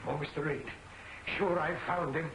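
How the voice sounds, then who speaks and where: Casting: male actor as excitable, high-pitched newspaper sleuth, brash and slangy, vintage serial style, roomy soundtrack. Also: normal speed, slight background noise, lightly acted. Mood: eager, insistent. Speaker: actor in a crime film serial. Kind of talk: old 1940s film serial acting.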